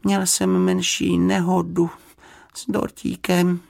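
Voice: medium pitch, completely flat delivery, creaky, a little mellow, pitched above the performer's own voice. A storybook reader voicing a small, polite young bear, earnest, timid and courteous. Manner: courteous, careful, slightly hesitant.

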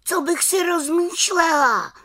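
A woman's voice: a little high, steady tone, creaky, slightly rough, no room sound, clean studio sound, pitched above the performer's own voice. A woman voicing a plucky, proud young witch girl in a storybook, exclaiming with spirited defiance. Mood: confident.

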